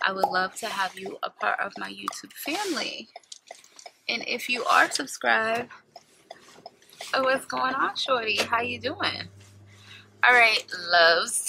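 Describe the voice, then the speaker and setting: A woman's voice: fairly high pitch, gravelly, pushed and bright, a little hiss or hum, low-quality recording. A woman in her thirties chatting casually to camera from a car's passenger seat, often correcting herself as she talks, recorded on a camera held at arm's length. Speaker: vlogger. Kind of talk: casual vlog chat to camera. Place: inside a car, passenger seat.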